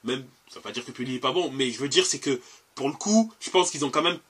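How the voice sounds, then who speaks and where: Male podcast host, bright and husky, halting chatty delivery. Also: medium pitch. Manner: hesitant, many fillers and restarts. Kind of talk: casual football chat.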